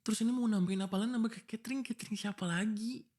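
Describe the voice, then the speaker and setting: A man's voice: high voice, racing, a little mellow. Man acting young fiancé, exasperated, protective, insistent, pleading, informal scolding, ASMR audio roleplay. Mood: annoyed, suspicious. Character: exasperated, protective young fiancé arguing before his wedding. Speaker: voice actor. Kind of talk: boyfriend ASMR roleplay, one-sided dialogue.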